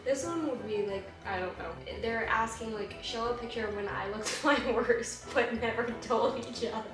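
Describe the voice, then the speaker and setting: A woman's voice: a little high, normal speed. A young woman hosting a casual vlog, chatty and giggly, reading out viewer questions. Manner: chatty and giggly, says "like" a lot. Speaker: vlogger, wife. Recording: roomy, distant mic with music.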